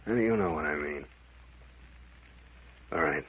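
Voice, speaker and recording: An actor playing a tough, commanding captain in an old-time radio mystery serial, giving brisk orders. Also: male voice, very deep voice, husky, lo-fi sound, full character voice. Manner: brisk, bossy, clipped orders. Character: tough, commanding captain investigating eerie graveyard happenings. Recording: noisy, band-limited old radio recording.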